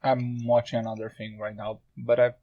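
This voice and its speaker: Male host for casual panel chat, medium pitch, short interjections, dull dry audio. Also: flat delivery, a little fast, dry recording. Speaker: podcast host.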